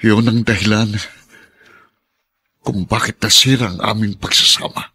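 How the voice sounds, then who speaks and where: A man's voice: fairly low pitch, steady tone, a little slow, sharp tone, breathy edge, heavily acted. An actor with a Filipino accent playing a grave, weary middle-aged father in a radio drama. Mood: grave, resigned. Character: grave, weary father, burdened by family shame.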